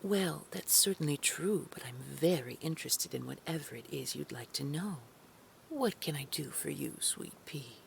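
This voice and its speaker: A low, creaky female boss of a secret order played by a woman actor drips with condescending sweetness. Her threats stay veiled under pet names, often sinking toward a breathy whisper, in audio drama style over faint noise. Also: animated, normal speed, exaggerated voice. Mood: sugary, condescending. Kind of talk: scripted audio drama roleplay.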